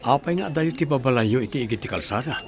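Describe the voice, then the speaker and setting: A man's voice: mid-range voice, expressive, quick pace, a crowd in the background, close to an everyday voice. An actor playing a firm, inquisitive lawman in an audio drama.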